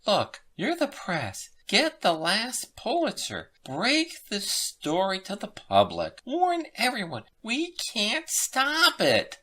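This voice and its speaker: Nervous male scientist for a story reading, acted by a woman: alarmed, insistent, lifted pitch, very animated. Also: slow, few pauses, a little hiss or hum, heavily acted. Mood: alarmed, raving. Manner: emphatic, alarmed warning. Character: nervous, urgent male scientist leaking a secret report.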